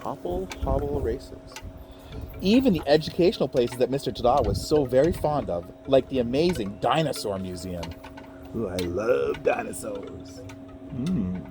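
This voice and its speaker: Animated man reading a storybook to children. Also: medium pitch, normal speed, music in the background. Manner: playful, expressive, checks in with listeners. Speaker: teacher reading a picture book aloud to children. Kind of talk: animated children's read-aloud with chatty asides.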